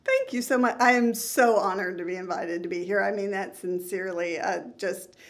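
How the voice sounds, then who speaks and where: A woman's voice: medium pitch, normal speed. A middle-aged woman from New England, a psychotherapist speaking as a guest in a casual, earnest interview and laughing easily. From New England, United States.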